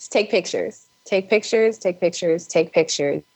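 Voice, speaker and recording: Female guest, deep for a woman, very unhurried and deliberate, casual, dull recording. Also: a little mellow, slightly rough. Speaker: filmmaker guest. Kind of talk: casual interview advice.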